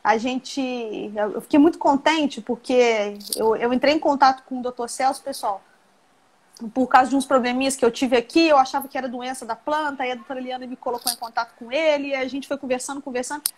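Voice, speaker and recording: A woman's voice: sits high, fairly even intonation, brisk, rough recording. A woman from Rio de Janeiro state with a Minas Gerais accent, a professor hosting a live video-call interview, chatty and affectionate. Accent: Minas Gerais Portuguese. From Rio de Janeiro state, Brazil. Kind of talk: warm casual live-stream interview.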